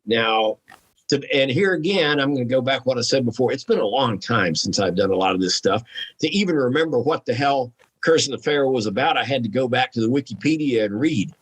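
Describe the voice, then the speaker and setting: Candid man, slightly husky voice. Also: mid-range voice, lively intonation, brisk, low-quality recording. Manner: candid, self-deprecating, rambling. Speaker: guest author. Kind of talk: casual chat about own writing.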